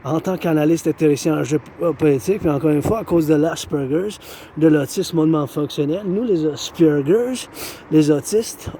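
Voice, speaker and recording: A man's voice: fairly high pitch, moderate pace, warm tone, a little hiss or hum. A man with a Québécois accent speaking in a rambling monologue outdoors, repeating and circling back to his own phrases. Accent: Québécois French. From Quebec. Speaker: vlogger.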